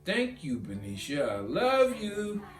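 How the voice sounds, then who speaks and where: A man voicing an excited little kindergarten boy, an adoring younger brother in a storybook, eager and exclaiming. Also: high voice, slow pace, voice pushed up, heavily acted. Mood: eager, affectionate. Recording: roomy echo, guitar music behind.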